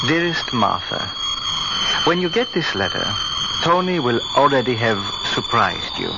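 An actor playing a seemingly kindly, secretly scheming man, measured and deliberate, in a radio drama. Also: medium pitch, gravelly. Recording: muffled, very noisy 1970s radio recording.

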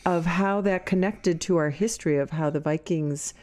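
A woman's voice: fairly low pitch, normal speed, darkish tone. An older woman with a Minnesota accent, co-hosting a casual podcast, rambling earnestly and laughing often. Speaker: podcast co-host. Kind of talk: casual chat.

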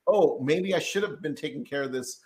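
A man's voice: fairly high pitch, fairly quick, a little mellow. Chatty man hosting with many ums. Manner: filler-heavy, rambling, frequent restarts. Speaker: podcast host, tax debt consultant. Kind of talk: casual podcast interview.

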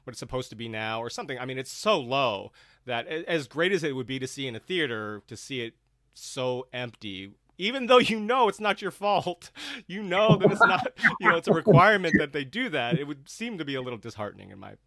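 A man's voice: mid-range voice, lively intonation, brisk, bright, forceful tone. A man hosting a panel discussion and putting questions to his guests. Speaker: host.

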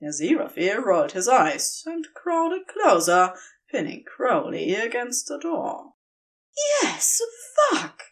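A woman narrating a scripted story in an unhurried, dramatic and expressive way. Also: fairly high pitch. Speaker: audio fiction reader.

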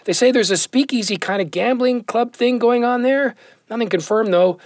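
A man's voice: very high voice, normal speed, no room sound, clean studio sound. A man playing an eager, flippant younger police detective in an audio drama, casual and awkwardly teasing. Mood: casual curiosity.

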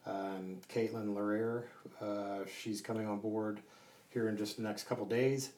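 A low male voice with very little melodic movement explains project details in conversation. He pauses and gropes for the next item as he goes, picked up from a distance in a roomy, reverberant space. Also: flat delivery, darkish tone. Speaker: construction project team leader being interviewed. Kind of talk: conversational interview answers about a project. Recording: roomy echo, distant mic, faint noise.

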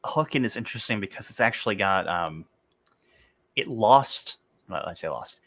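A man's voice: on the low side, lively intonation, normal speed, gravelly, fairly bright tone, dry recording. A man hosting a podcast, explaining ideas eagerly and hedging his opinions in casual conversation. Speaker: podcast host.

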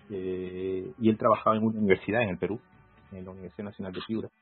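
A man's voice: lowish voice, breathy edge. A man in his forties from Peru with a Peruvian accent, talking reflectively as a law professor guest in an interview. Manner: measured, reflective, many fillers. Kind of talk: casual interview. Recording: phone-like call audio.

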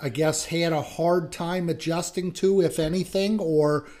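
A man who teaches college classes and hosts an interview, talking in a relaxed, chatty way with plenty of fillers. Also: fairly high pitch, measured pace. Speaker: host and college instructor. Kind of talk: casual interview.

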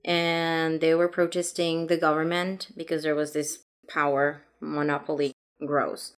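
A woman's voice: deep voice, flat delivery, a little slow. A Mexican woman whose native language is Spanish, co-hosting a podcast in casual chat, talking at length and laughing often. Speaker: podcast co-host.

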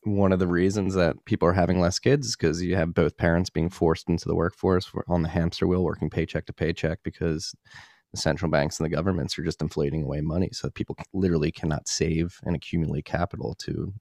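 Deep gravelly young man hosting. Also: fairly even intonation, quick pace, darkish tone, dry recording, clean studio sound. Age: young adult. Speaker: podcast host. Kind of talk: casual conversational podcast.

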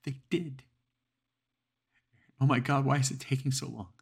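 A man voicing a terrified woman on an emergency call, his voice pushed up high and strained with panic. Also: fairly even intonation, measured pace, creaky, husky, heavily acted.

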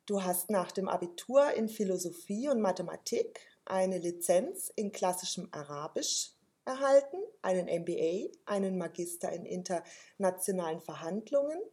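A woman's voice: medium pitch, a little mellow. A woman who reads a formal introduction and then interviews her guest. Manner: formal, carefully read. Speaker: podcast host.

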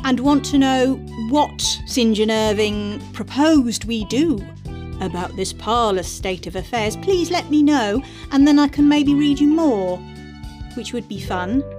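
A woman with a fairly high voice and very animated intonation, hosting a podcast of readings. She speaks articulately, with theatrical emphasis, over some music. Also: a little slow. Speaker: podcast host reading aloud. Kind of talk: dramatic reading of an essay, then informal podcast chat.

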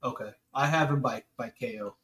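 A man's voice: mid-range voice, a little fast, a bit bright, echoey room. A man hosting a casual sports chat, blunt, joking and swearing freely. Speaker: host.

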